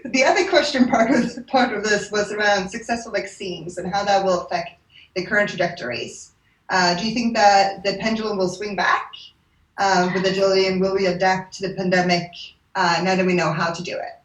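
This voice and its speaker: A woman moderator reading audience questions, brisk and friendly. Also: medium pitch, steady tone, echoey room, low-quality recording. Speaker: event moderator.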